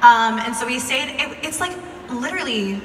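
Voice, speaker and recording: A woman's voice: high-pitched, lively intonation, normal speed, fairly bright tone. Young American woman, casual live storyteller, chatty and informal, distant mic in a reverberant room with some noise. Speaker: video creator telling a story to a live audience.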